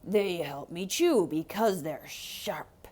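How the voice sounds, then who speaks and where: A sly male wolf from a children's storybook, acted out by a woman. He growls and coaxes greedily, drawing words out slowly with big, theatrical swings of pitch. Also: animated, darkish tone, breathy edge, full character voice. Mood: wheedling. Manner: growling, menacing, coaxing.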